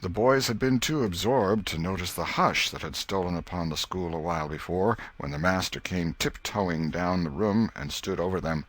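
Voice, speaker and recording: A man's voice: low voice, moderate pace, few pauses, fairly bright tone. A man reading literary fiction as an audiobook narrator in a scripted storytelling style.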